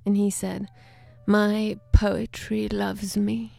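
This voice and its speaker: A woman from Sweden with a Swedish accent, chatting casually as a studio guest and then reading her poetry slowly and deliberately. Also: medium pitch, little pitch movement, vocal fry, mellow, no echo. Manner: laughs easily, reads poetry slowly and deliberately. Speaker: guest poet. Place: radio studio.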